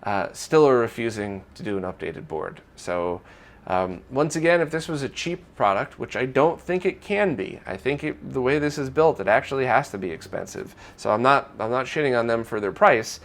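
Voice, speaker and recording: A man's voice: mid-range voice, expressive, normal speed. Casual, candid man hosting tech news. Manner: conversational, candid, opinionated. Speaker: host of a retro gaming news roundup.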